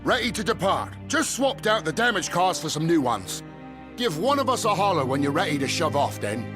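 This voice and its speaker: Highish folksy railway hand. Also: male voice, sing-song, a little fast, over music. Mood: helpful. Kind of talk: video game voice acting.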